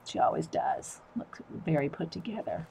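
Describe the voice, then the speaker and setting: A woman's voice: deep voice, huge pitch swings, normal speed, vocal fry, mellow, a little hiss or hum. A middle-aged woman, chatting casually with viewers while she draws, laughing often. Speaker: artist livestreamer. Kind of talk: casual chat while drawing.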